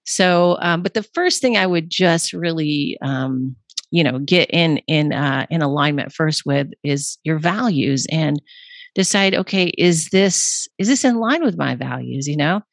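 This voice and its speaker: A low-pitched woman with a slightly hoarse voice, chatting casually and rambling through fillers and restarts. The recording is dry and close, with no room sound. She talks as a podcast guest explaining her ideas. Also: animated, measured pace, dry recording. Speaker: guest nutrition author.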